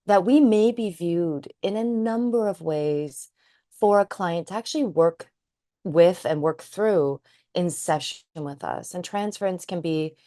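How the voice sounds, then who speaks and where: A woman's voice: fairly low pitch, a little slow, mellow, no echo, clean studio sound. A woman who is a trauma psychotherapist, co-hosting a podcast and speaking in a thoughtful, earnest way. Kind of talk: casual chat.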